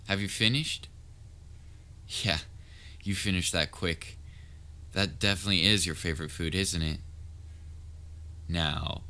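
Man acting out young male babysitter, lowish crisp-edged bright voice, slow delivery, caring, coaxing, easily exasperated, audio roleplay. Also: full character voice. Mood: gentle, coaxing. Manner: coaxing, casual, firm but tender. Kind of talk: roleplay audio drama, speaking directly to listener.

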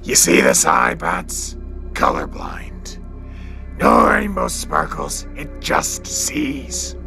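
An actor playing a scarred, taunting crime boss with a split personality in an audio drama, theatrical and gravelly, breaking into harsh whispers. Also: male voice, deep voice, bright, forceful tone, heavily acted. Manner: theatrical, swinging, drawn-out menace.

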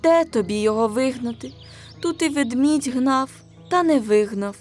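A woman's voice: normal speed, darkish tone, soft background music, close to an everyday voice. A woman voicing a small, sad male bunny who laments plaintively in a children's folk tale. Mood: tearful, doubtful. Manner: plaintive, high-voiced lamenting. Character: small, sad, tearful bunny driven from his home.

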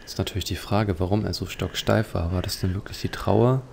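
Very deep, creaky-voiced man commentating. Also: fairly even intonation, brisk, a little mellow, a little hiss or hum. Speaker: let's play host. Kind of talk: leisurely video game commentary.

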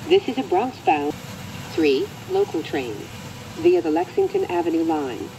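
An American woman reading scripted transit announcements in a measured, formal manner. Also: lowish voice, wildly swooping pitch, noisy background.

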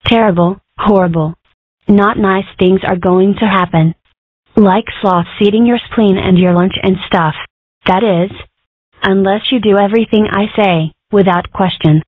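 A woman's voice: medium pitch, fairly even intonation, unhurried, no echo, lightly acted. A woman voicing a scheming, bossy young fox girl in a comic strip dub, with a dramatic way of talking. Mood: mock menace. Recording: muffled narrow phone-like sound.

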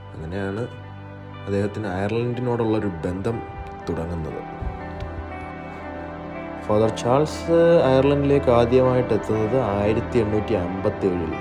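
A man giving a measured, explanatory documentary voice-over as the narrator of a video. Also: on the low side. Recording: music bed, some dull echoey passages. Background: music.

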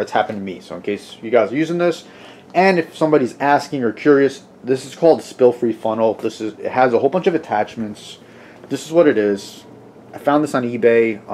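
Car-vlog guy, thirties, hoarse and sniffly, casual self-deprecating chatter into a handheld camera in a garage. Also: fairly low pitch, a little mellow. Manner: chatty, rambling, self-deprecating, frequent restarts. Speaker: car vlogger. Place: home garage, then inside a parked car. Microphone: handheld camera at arm's length.

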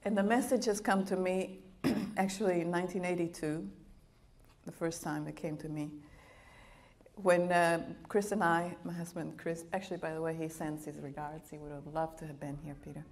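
A middle-aged woman with a slight foreign accent preaches from a church pulpit into a handheld microphone. She tells her life story in an animated, laughing way, with a voice pitched slightly low. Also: moderate pace. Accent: foreign accent of Italian and Latin American upbringing. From Bolivia. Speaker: guest missionary speaker. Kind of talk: sermon with personal storytelling. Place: church auditorium with a stage. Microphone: handheld microphone at a pulpit, wide camera.

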